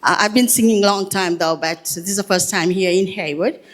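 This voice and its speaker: A woman from Fiji steps up as a singing contestant and greets the audience warmly. Her voice sits in an ordinary middle range and her tone is friendly. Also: mid-range voice, moderate pace. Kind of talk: stage self-introduction.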